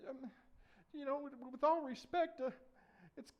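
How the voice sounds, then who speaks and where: Man playing a high-pitched earnest young shepherd boy. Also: fairly even intonation, normal speed, highs cut off. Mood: nervous. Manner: high, stammering politeness turning to defiance. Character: young shepherd boy, indignant, earnest, bold yet awkward.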